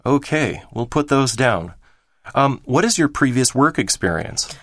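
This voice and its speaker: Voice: medium pitch, animated, unhurried, a bit bright, no room sound, clean studio sound. An actor playing a helpful, courteous office clerk who methodically takes down details in a scripted exam listening dialogue. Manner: courteous, methodical, repeats answers back.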